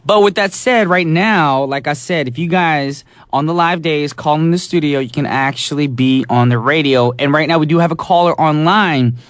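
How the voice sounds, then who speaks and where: A man's voice: a little high, moderate pace, few pauses, a bit bright, no room sound. A man hosting a call-in radio show, enthusiastic and chatty, saying "awesome" a lot. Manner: enthusiastic, repeats "awesome" and "alrighty". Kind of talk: upbeat radio call-in chat. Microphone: studio microphone.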